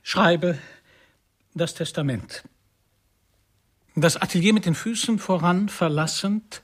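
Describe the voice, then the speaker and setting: Slow, creaky-voiced pompous artist. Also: male voice, on the high side, heavily acted. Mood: solemn, pompous. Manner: stilted, florid, slow dictation. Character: pompous, embittered male artist dictating his testament. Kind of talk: German radio play acting.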